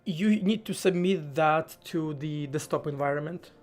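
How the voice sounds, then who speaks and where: A man live-streaming while he codes, thinking aloud in a halting way, with many fillers and restarts. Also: a little high, a little mellow, faint music behind. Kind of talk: casual live-stream talk while coding.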